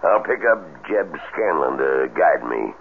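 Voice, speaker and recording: An actor playing a gruff, practical older country doctor with an American accent and a blunt, folksy manner in a 1970s radio drama. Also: male voice, a bass voice, very animated, a little slow, full character voice. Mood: brisk, reassuring. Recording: tinny, faintly noisy old radio broadcast.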